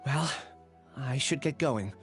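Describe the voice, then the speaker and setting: An actor playing an earnest, remorseful, gentle young man in a video game, speaking reflectively. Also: mid-range voice, takes it slowly, over loud music, close to an everyday voice. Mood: resigned.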